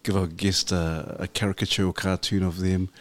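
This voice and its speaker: A man's voice: sits low, flat delivery, normal speed, very noisy. A man hosting a podcast, laid-back and friendly in how he talks to his guest. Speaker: podcast host. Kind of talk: casual podcast chat. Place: podcast studio.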